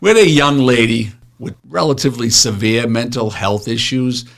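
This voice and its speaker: A man's voice: mid-range voice, moderate pace, vocal fry. A man telling police anecdotes in a casual, blunt, joking way with some swearing, like an officer recalling the job. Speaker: retired police officer telling stories on a show.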